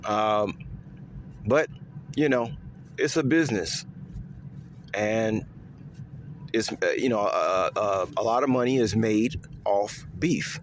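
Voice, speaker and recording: Low-voiced man rambling in a loose monologue. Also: slow pace, long pauses, slight background noise. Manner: rambling, repeats himself, many fillers; pitch swings up and down. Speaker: vlogger. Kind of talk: casual off-the-cuff monologue.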